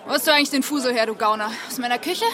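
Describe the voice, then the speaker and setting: A woman acting in a German radio drama as a feisty, bossy farm household woman with a brisk, scolding manner. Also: fairly high pitch, natural voice. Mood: teasing. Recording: crowd murmur behind the voice.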